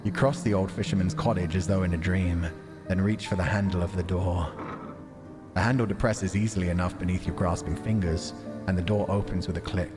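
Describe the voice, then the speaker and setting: A man's voice: sits low, steady tone, brisk, over music. A man hosting a game stream, reading visual novel narration aloud and chatting casually about it. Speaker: livestream host playing a visual novel.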